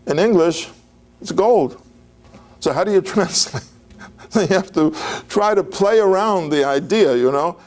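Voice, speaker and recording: A man's voice: high voice, measured pace, long pauses, a little mellow. An older man giving an informal, digressive lecture on biblical history, talking conversationally with fillers and restarts.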